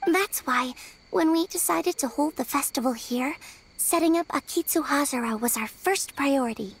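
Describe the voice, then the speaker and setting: A woman's voice: sits high, normal speed, slight background noise. A woman voicing a small, polite young spirit in a video game, explaining things earnestly.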